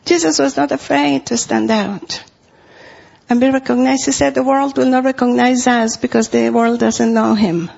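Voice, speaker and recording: A woman's voice: a little high, fairly even intonation, normal speed, vocal fry, a little mellow, dull audio. A middle-aged woman from Costa Rica with a Spanish accent, preaching a sermon in an earnest way and repeating phrases for emphasis.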